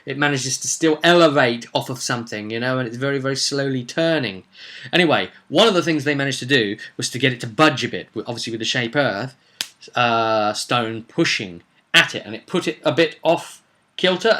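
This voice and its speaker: A man with a bright, sharp-edged voice at an ordinary male pitch recaps a tabletop game as its game master. He tells it casually but dramatically, like an informal storyteller, repeating phrases to build suspense. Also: normal speed.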